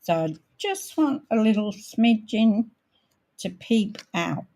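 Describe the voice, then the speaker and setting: A woman with a croaky voice hosting a casual live craft demonstration, thinking aloud as she works and sometimes clearing her throat. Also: medium pitch, lively intonation, dark tone. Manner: unhurried, thinking aloud while working. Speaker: craft tutorial host.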